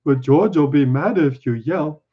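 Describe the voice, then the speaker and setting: A man's voice: fairly high pitch, measured pace, slightly rough. Casting: male narrator as big simple-minded farmhand type, childlike, rustic, earnest and easily panicked, on a dull recording. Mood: fearful, regretful. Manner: rustic ungrammatical speech, simple earnest wording.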